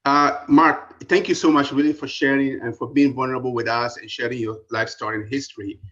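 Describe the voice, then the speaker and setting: A man's voice: mid-range voice, steady tone, fairly quick, fairly bright tone. A man from Ghana with a Ghanaian accent, asking a question politely. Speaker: audience member asking a question. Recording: dull lo-fi call audio.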